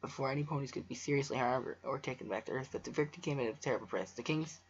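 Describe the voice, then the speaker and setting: Man, husky voice, amateur story reader, casual, stumbling delivery, dull home recording. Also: mid-range voice, racing. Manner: stumbling over words, breaking off for offhand asides. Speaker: amateur fan fiction reader and video creator. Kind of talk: casual story reading with joking asides.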